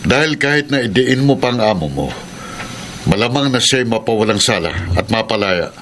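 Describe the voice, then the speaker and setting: An actor playing a calm, firm police investigator who lays out evidence in a radio drama. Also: male voice, medium pitch, a little hiss or hum, natural voice. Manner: measured, firm. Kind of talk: 1990s radio drama acting.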